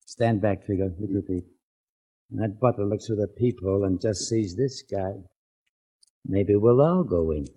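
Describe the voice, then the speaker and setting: A tough 40s radio mobster, low husky voice, cocky, slangy, needling. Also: male voice, normal speed, mellow, lo-fi sound. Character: menacing male gambling boss, cocky tough guy. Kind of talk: 1940s radio crime drama acting. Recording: 1940s radio recording.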